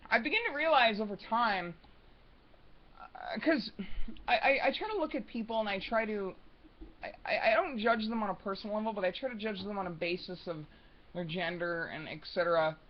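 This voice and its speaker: A young man with a soft voice that sits higher than most men's talks off the cuff in a room at home. He rambles, restarts and fills gaps with ums. The recording is dull and lo-fi. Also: fairly quick. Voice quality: high, soft voice. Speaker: vlogger. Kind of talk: unscripted casual monologue.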